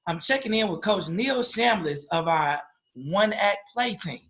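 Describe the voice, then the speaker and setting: A man's voice: sits high, lively intonation, a little slow, a bit bright. A man hosting a school podcast, chatting casually with a joking, teasing, familiar manner. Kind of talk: casual interview chat.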